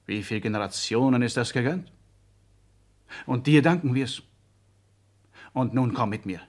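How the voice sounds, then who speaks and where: A mid-pitched voice of a possessive, fastidious idealist. He speaks with solemn, lofty insistence in the stagey manner of 1960s German radio drama. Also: lively intonation. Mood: solemn, insistent. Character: intense, possessive, fastidious male idealist urging departure.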